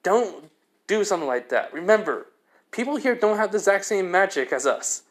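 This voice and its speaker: A man's voice: high-pitched, very animated, moderate pace, pitched above the performer's own voice. A man voicing a blunt, scolding anime girl who speaks in a terse, chiding way.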